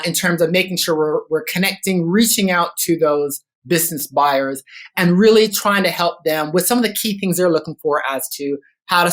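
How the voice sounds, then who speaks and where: A Canadian-accented man talks as a guest on a business podcast. He is articulate and methodical, running through lists of points. His speech is filled with ums and uhs as he goes. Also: fairly high pitch. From Toronto, Canada. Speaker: guest business growth consultant. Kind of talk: conversational podcast interview.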